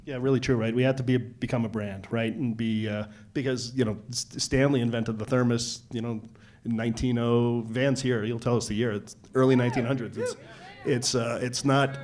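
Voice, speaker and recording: A man speaking as an investor in a casual on-stage interview, halting and colloquial, often checking his point with the listener. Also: medium pitch, fairly even intonation, a little mellow.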